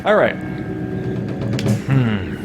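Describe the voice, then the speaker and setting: A man's voice: mid-range voice, sing-song, extremely slow, over loud music. A man giving casual gameplay commentary, thinking aloud in a rambling, musing way. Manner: rambling, musing, trails off into side thoughts. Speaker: gaming streamer, let's player.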